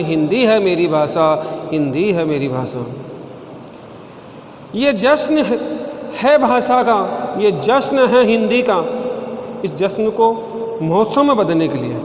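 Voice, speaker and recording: A man giving a formal stage speech at a college function, declaiming and chanting poem refrains with rising emphasis. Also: high-pitched. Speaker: speaker at a college Hindi Day function. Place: event at a college courtyard. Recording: echoey, dull lo-fi sound with long reverb and faint hiss.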